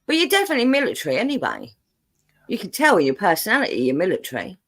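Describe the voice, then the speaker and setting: A woman's voice: medium pitch, huge pitch swings, fast. An English woman hosting a live stream, reading out viewer messages in a rambling, chatty way with lots of fillers and frequent laughs. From England, born in London, raised in Buckinghamshire.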